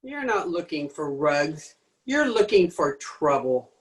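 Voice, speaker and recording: A woman's voice: sits low, sing-song, takes it slowly, exaggerated voice. A woman playing a suspicious, threatening crook's accomplice in an old-time radio drama, hard-boiled and challenging. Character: tough, suspicious female accomplice, curt and threatening. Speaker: amateur radio drama player.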